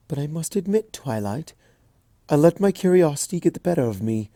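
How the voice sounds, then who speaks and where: A man voicing a gentle, regal, motherly pony princess in a fanfic reading, calm, hushed and kindly. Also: fairly high pitch, dry recording, studio quality, pitched above the performer's own voice.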